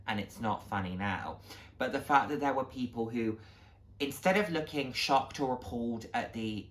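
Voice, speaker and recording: A man's voice: mid-range voice, fairly bright tone. Man, late twenties, casual vlog talk, earnest, reflective, supportive. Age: late 20s. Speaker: vlog host. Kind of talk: casual heartfelt talk to viewers.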